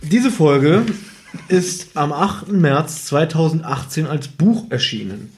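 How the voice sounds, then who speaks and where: A man's voice: a little high, sing-song, takes it slowly, slight background noise. A tired man co-hosting a podcast, bantering casually in a blunt, teasing way. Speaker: podcast co-host.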